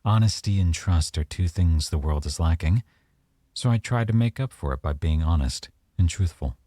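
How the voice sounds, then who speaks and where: A man playing a teasing, coy young mafia boyfriend with veiled menace, in an unhurried, intimate ASMR roleplay. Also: low voice, lively intonation, mellow, dry recording. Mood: coy, smooth.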